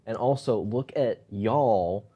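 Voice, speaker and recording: A man's voice: lowish voice, slow pace, dull audio. A young man with a Texan accent, talking casually on a livestream with a laid-back drawl. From Texas.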